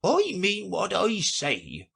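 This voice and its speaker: A man voicing a thoughtful, easily offended male Mock Turtle, speaking slowly in a high, husky voice. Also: wildly swooping pitch, a bit bright, heavily acted. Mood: mournful.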